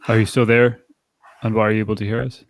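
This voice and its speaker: A man hosting a live podcast, explaining things in a measured way. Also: fairly low pitch, flat delivery, dry recording. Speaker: podcast host.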